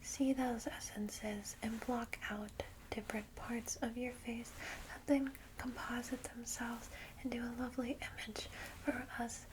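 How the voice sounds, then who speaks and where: A woman whispering softly and soothingly in an ASMR roleplay as a caring, old-fashioned vampire guide, in a breathy voice. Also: a little high, a little hiss or hum, poor audio. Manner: gentle, soothing, slow reassurance.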